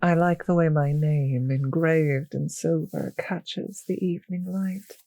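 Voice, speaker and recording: A woman playing a possessive, teasing vampire mistress in an intimate roleplay audio drama, speaking slowly and condescendingly with a breathy voice that often sinks to a whisper. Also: on the low side, darkish tone, dry recording, exaggerated voice.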